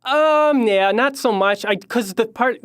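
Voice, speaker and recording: A young man from Chicago with a high voice, chatting as a musician guest in a podcast interview, rambling with many fillers and trailing off quietly. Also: unhurried, dry recording, studio quality. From Chicago, Illinois.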